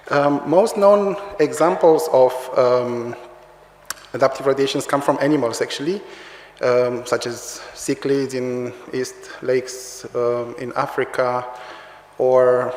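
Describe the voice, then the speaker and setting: A man's voice: mid-range voice, slight background noise. A man with a Romanian accent giving a conference talk, hesitant with many fillers and restarts. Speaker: conference speaker, researcher. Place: large lecture hall. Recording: roomy long hall reverb.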